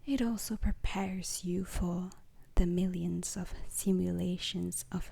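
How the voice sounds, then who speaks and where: A woman playing a solemn, strict alien instructor in an ASMR roleplay, lecturing deliberately in a breathy whisper. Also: on the low side, steady tone, dark tone, heavily acted. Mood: solemn, instructive.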